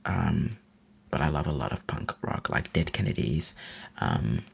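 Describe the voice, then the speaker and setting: A man from Finland, a native Finnish speaker, rambling eagerly in a soft whisper as an ASMR live streamer. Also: a bass voice, big pitch swings, unhurried, gravelly, breathy edge.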